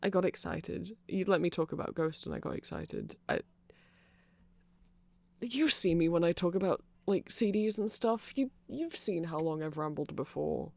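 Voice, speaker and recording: A performer voicing a nerdy, apologetic vampire shopkeeper in a casual ASMR roleplay, rambling hesitantly and excitably to a friend. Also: medium pitch, moderate pace, vocal fry, no room sound. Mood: sheepish, friendly.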